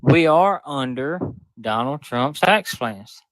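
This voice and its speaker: A man in his twenties with a Mississippi accent, a guest arguing politics in an earnest, halting way. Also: medium pitch, animated, fairly bright tone, dry recording, poor audio. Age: 20s. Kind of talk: casual debate.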